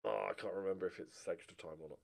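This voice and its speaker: A man's voice: low-pitched, steady tone, very fast, creaky, a little mellow. A middle-aged man giving casual, sweary commentary on a football manager game, talking to his players and viewers into a close mic. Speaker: gaming streamer. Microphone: close desk microphone.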